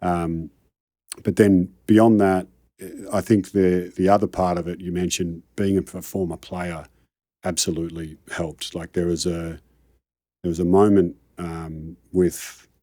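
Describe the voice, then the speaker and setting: A man's voice: deep voice, flat delivery, unhurried, dark tone, no echo, clean studio sound. A middle-aged Australian man, a coach telling his career story in a casual interview, rambling and self-deprecating. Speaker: high-performance coach, guest.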